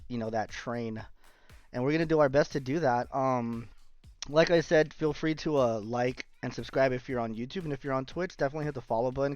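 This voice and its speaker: A man hosting a casual podcast interview on a stream, relaxed and friendly, who clears his throat now and then. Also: mid-range voice, dull audio. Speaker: podcast host.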